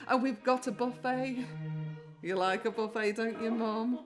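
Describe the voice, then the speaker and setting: An actress playing a caring, sentimental middle-aged English daughter in a naturalistic audio drama, tender and conversational. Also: female voice, on the high side, steady tone, unhurried, over music, lightly acted. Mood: excited.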